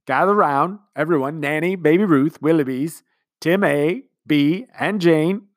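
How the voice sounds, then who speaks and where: A man's voice: fairly high pitch, measured pace, no echo, studio quality, pitched above the performer's own voice, close to an everyday voice. A man voicing a grandiose, sentimental middle-aged male commander who talks in long, flowery speeches. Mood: grandiloquent. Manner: long-winded, pompous speechmaking.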